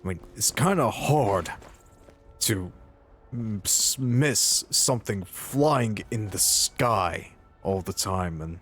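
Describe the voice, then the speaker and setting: A man role-playing in character through a fantasy game, improvising in a halting, musing manner. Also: fairly low pitch, expressive, a bit bright, faint music behind.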